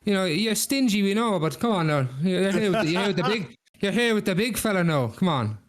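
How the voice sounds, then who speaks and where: A chiding barman from a comic pub tale, put on by a man. The voice sits well above a usual male pitch. He coaxes in a familiar, repetitive way. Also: lively intonation, brisk.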